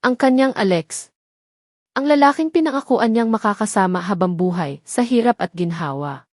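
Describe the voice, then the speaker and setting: A woman's voice: medium pitch, animated, normal speed, darkish tone, dry recording. Solemn woman narrating, close dry sound. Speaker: story narrator. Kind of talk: scripted dramatic narration of a romance story.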